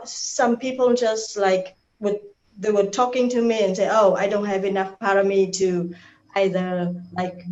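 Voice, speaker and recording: A woman's voice: mid-range voice, a little mellow. A woman from Vietnam, a participant asking a question on a video call, speaking hesitantly with many fillers. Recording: echoey, dull video call audio.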